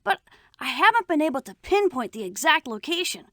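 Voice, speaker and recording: A woman voicing a clever, eager young boy adventurer in a cartoon computer game, excited and earnest. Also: high-pitched, a little fast, no echo, clean studio sound, full character voice.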